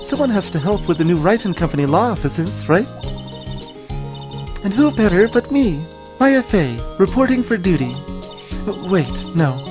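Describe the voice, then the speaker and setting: Woman doing perky teen girl sidekick from a game, bubbly n cheeky, bouncy pitch, music behind. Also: sing-song, normal speed, long pauses, close to an everyday voice. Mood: excited, eager.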